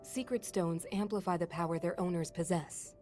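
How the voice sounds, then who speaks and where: An actress playing a learned, scholarly non-human sage and older sister, explaining in a measured, thoughtful way. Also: female voice, fairly low pitch, fairly even intonation, over music, close to an everyday voice. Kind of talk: game cutscene voice acting.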